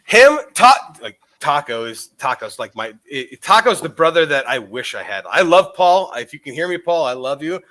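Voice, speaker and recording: A man's voice: fairly high pitch, sing-song, moderate pace, few pauses, sharp tone. A man co-hosting a podcast, intoxicated, rambling casually with lots of swearing. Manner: profane, meandering, enthusiastic. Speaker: podcast co-host.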